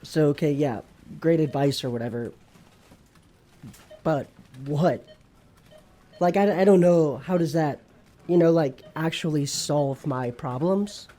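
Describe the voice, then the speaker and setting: A sulky young slacker horseman of the apocalypse in an audio comedy. His voice is soft-edged and mellow, and he rambles in halting, slangy fragments. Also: fairly high pitch. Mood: confused, defensive. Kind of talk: comedic audio drama dialogue.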